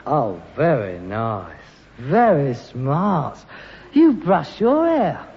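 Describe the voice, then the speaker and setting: An actor playing a put-upon, pretentious London son, hesitant, stammering and easily indignant, in British sitcom style. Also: male voice, on the high side, huge pitch swings, extremely slow, gravelly, full character voice. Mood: awkward, polite. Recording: muffled, noisy archive recording, echoey hall.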